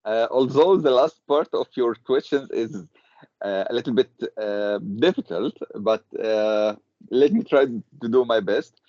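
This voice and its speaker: A man's voice: mid-range voice, measured pace, darkish tone, dry recording. A man from Egypt introducing himself in class, modest and hesitant, with many uhs. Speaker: student. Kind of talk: self-introduction. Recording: dry, dull lo-fi audio.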